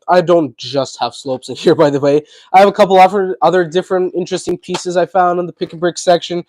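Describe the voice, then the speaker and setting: A man hosting a casual livestream, talking in a chatty, rambling way while congested and hoarse from a cold. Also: on the high side, a little mellow, no room sound. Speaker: livestream host.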